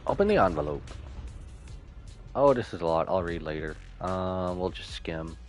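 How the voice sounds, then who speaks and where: Male streamer, animated intonation, casual, incredulous, joking, slangy, music in the background. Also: low voice, moderate pace. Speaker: game streamer. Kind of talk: casual live reaction commentary.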